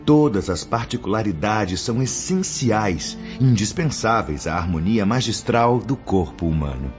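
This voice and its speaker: Radio drama casting: male actor as solemn spirit teacher, Brazilian, low-pitched, measured and lofty, dull recording. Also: expressive, darkish tone. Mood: solemn, reverent. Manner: reverent, declamatory, measured. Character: Brother Clemente, solemn male spirit teacher instructing pupils. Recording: dull lo-fi audio under music.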